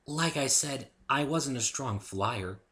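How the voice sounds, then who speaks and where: A man voicing a meek, gentle young female pony, polite and apologetic, in a dramatic story reading. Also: mid-range voice, expressive, slow, pitched above the performer's own voice. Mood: meek, reassuring. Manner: meek, soft-hearted, apologetic.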